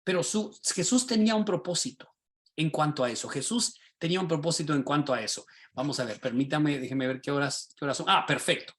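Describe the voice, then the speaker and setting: A man, a pastor and teacher, teaching a class over a video call in a lively, sermon-like way, often asking his listeners rhetorical questions. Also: on the high side, a little fast. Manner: animated, rhetorical questions, asks the class directly.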